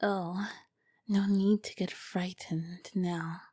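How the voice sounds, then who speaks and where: Casting: female performer as charming, dangerous royal vampire queen for ASMR roleplay; breathy whispered delivery, unhurried, courtly and flirtatious. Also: fairly low pitch, steady tone, dark tone, no room sound, clean studio sound. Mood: hungry, menacing. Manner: slow, formal, flirtatious phrasing. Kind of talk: ASMR roleplay, scripted and intimate.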